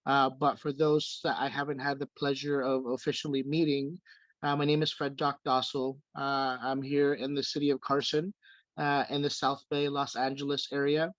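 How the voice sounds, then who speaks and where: A man's voice: mid-range voice, flat delivery, moderate pace, fairly bright tone, no room sound, muffled recording. A man in his forties from the Philippines, speaking casually as an organization's treasurer, hesitant with many fillers and restarts. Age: 40s. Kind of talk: casual video call remarks. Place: home room. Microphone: video call.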